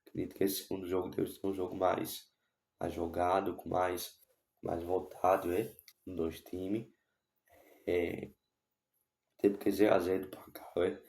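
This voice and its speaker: A young man with a Brazilian accent, hoarse from a cold, chatting casually about football in a halting way with many restarts. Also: low voice, flat delivery, darkish tone. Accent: Brazilian Portuguese. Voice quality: gravelly. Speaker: football vlogger. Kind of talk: casual football commentary to camera. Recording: echoey room.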